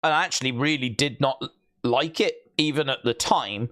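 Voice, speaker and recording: A male livestream host talks in a loose, rambling way, as in a casual watch-along. He has a mid-pitched voice and drops in joking, deadpan asides as he chats with his viewers. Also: normal speed.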